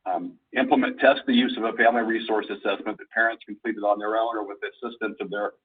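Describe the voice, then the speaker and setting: A low-voiced man presents slides to colleagues on a conference call. His voice is husky and he explains in a halting, deliberate manner. The sound is thin and phone-like, as if he is some way from the receiver. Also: fairly even intonation, far from the mic. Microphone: conference call phone line.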